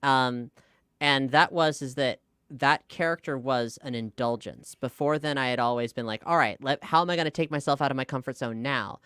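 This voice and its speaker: Woman, low smooth clear voice, informal podcast guest, thoughtful, hesitant phrasing, dry sound. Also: moderate pace, a bit bright, dry recording. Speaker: podcast guest, audio drama creator. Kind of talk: casual, reflective interview chat.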